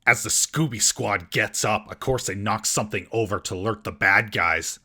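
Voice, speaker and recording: A man's voice: lowish voice, normal speed, pushed and bright, breathy edge. A man hosting a scripted comedic film recap in a sarcastic, mocking manner. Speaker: comedic film reviewer and host.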